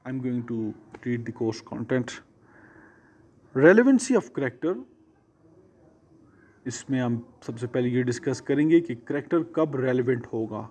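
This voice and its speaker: A man with a Pakistani accent lecturing students as a law teacher, explaining deliberately and repeating and rephrasing his points. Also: medium pitch, dark tone. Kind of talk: exam-preparation lecture to students, mixing Urdu and English.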